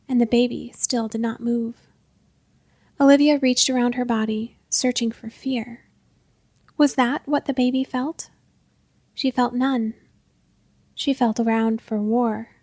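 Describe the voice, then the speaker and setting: High-voiced woman narrating a literary story, dry sound. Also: darkish tone, no echo.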